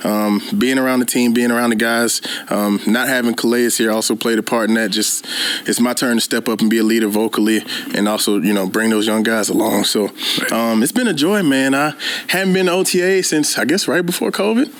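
A man's voice: mid-range voice, fairly even intonation, brisk, no room sound. A man, a pro football player, answering interview questions in an easygoing, earnest way with lots of fillers.

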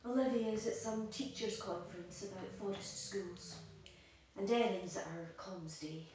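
A woman's voice: medium pitch, moderate pace, lightly acted. A woman playing an anxious, controlling young mother and wife in an audio play, insistent and fussing. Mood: worried. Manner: insistent, nagging, doting on her baby. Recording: very echoey room, distant, faint hiss.

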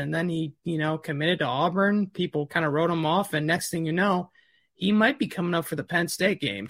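A man's voice: on the high side, fairly bright tone, dry recording. A man with a Midwestern accent, a recruiting reporter chatting casually, with lots of "you know" fillers. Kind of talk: casual sports talk.

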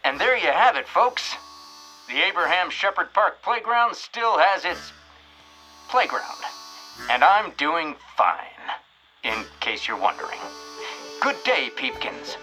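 An actor playing a wry reporter signing off to listeners in a comic audio drama. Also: male voice, fairly high pitch, very animated, slow pace, long pauses, bright, forceful tone, faint music behind, full character voice, rough recording. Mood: wry, cheerful. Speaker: story narrator and reporter.

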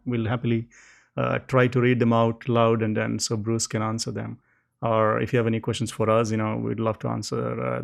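Creaky-voiced male podcast host. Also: medium pitch. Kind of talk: casual livestream podcast talk.

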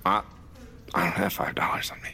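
A man's voice: fairly quick, sharp tone. A man playing a cocky, childish bounty hunter in an audio drama, flippant and chatty. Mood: casual.

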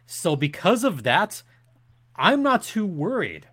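A man's voice: high voice, very animated, takes it slowly, fairly bright tone, slightly rough. A man who works as a sports announcer, giving conversational, opinionated analysis as a podcast guest with an emphatic delivery.

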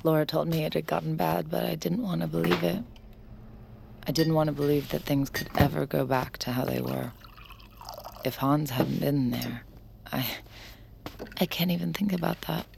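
A woman's voice: low voice, fairly even intonation, moderate pace, vocal fry, background noise. A woman acting a guarded, anxious young bartender in an audio drama, also narrating her private thoughts. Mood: shaken.

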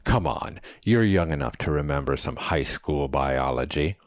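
A man's voice: very deep voice, lively intonation, normal speed, no echo, clean studio sound. A man voicing a feisty, philosophical old man in an audiobook, lecturing with precise, scholarly diction. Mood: probing. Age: older. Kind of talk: audiobook character dialogue.

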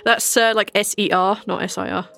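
A woman's voice: medium pitch, fairly even intonation, a little fast, gravelly, fairly bright tone, soft background music. A woman acting as game master, narrating a tabletop game in an informal, conversational way.

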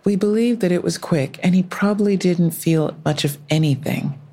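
A woman plays a police officer in an audio drama. Her voice is deep for a woman and softly mellow. She stays composed, careful and formal, with quiet sympathy. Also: moderate pace, close to an everyday voice. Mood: calm, careful. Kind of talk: full-cast audio drama acting.

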